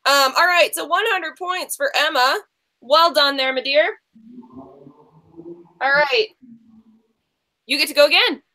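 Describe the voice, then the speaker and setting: A woman's voice: sits high, unhurried, pauses often, bright, forceful tone, low-quality recording. A woman hosting a casual online book quiz in a chatty, encouraging way. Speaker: quiz show host.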